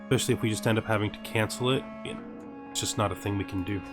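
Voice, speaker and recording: A man's voice: lowish voice, fairly even intonation, very fast. A man giving gaming commentary as a series host, thinking aloud as he plays. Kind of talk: gaming commentary with scripted intro narration. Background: background music.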